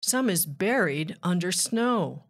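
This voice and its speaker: A woman reading a rhyming children's book aloud with measured, deliberate phrasing. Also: lowish voice, animated. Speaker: children's author and narrator.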